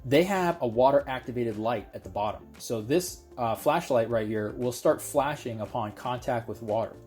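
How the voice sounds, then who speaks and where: A man's voice: medium pitch, moderate pace, a little mellow, faint music behind. A man giving a casual product review, explaining things conversationally and speaking directly to viewers.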